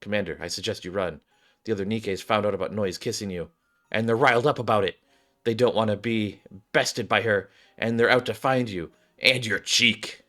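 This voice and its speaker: A man's voice: fairly low pitch, moderate pace, bright, forceful tone. A man voicing a protective game ally urgently warning a commander. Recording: background game music.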